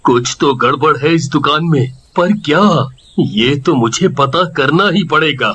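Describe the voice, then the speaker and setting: High-voiced anxious shopkeeper father played by a man. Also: unhurried, a bit bright. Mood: uneasy. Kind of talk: cartoon story acting.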